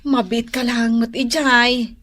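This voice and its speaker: A woman's voice: fairly high pitch, flat delivery, takes it slowly, background noise. A woman playing a suspicious young wife in a radio drama, speaking with an Ilocano Filipino accent. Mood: feigned casualness. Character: suspicious young wife, niece scheming with her aunt.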